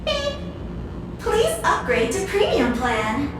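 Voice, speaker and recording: A woman's voice: extremely high pitch, wildly swooping pitch, slow pace, exaggerated voice. A woman voicing a bossy, mocking computer system in a video game. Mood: teasing. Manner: teasing, officious. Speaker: game character. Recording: roomy echo, distant, noisy.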